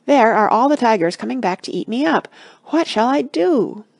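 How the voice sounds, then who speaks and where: A woman's voice: medium pitch, a little fast, darkish tone, no echo, studio quality, close to an everyday voice. A woman voicing a small, polite, earnest little boy in a children's storybook. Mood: worried.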